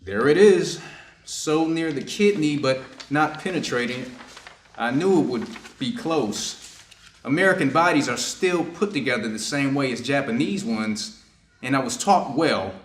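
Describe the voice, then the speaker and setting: A man's voice: mid-range voice, expressive, unhurried, natural voice. A man playing a stern, conflicted Japanese doctor treating a wounded enemy in a staged play reading. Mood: absorbed, conflicted. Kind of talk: staged readers' theater acting. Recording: echoey room.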